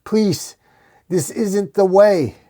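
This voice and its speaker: A man's voice: medium pitch, fairly even intonation, moderate pace, a little mellow, natural voice. A man voicing an earnest, weary priest who speaks with urgent concern in a comic book story. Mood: desperate pleading. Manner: pleading, urgent. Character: earnest pleading male priest, gentle and weary.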